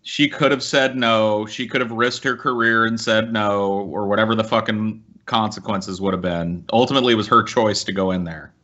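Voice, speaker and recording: A man with a lowish, forceful voice bantering as a podcast host. He is opinionated, blunt and profane. The sound is slightly muffled. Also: fairly even intonation, moderate pace, few pauses. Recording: slightly dull podcast audio.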